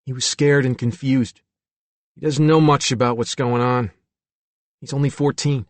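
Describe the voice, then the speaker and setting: A friendly, talkative young man, as a male audiobook reader puts him on, speaks in an easygoing, casual way. His delivery is relaxed and conversational. The recording is dry and close. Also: medium pitch, normal speed, dry recording, close to an everyday voice. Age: young adult.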